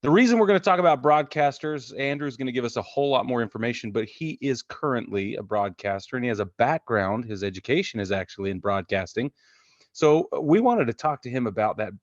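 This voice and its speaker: A man's voice: medium pitch, lively intonation, quick pace, dry recording. Guy hosting a sports podcast, jokey and casual, dry slightly muffled audio. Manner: jokey, informal. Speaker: podcast host.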